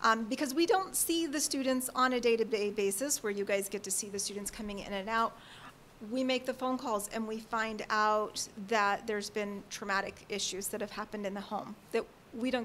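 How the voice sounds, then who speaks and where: A woman working as a school family support advisor, speaking loudly and earnestly as she explains her work at a public board meeting. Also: mid-range voice, a little hiss or hum.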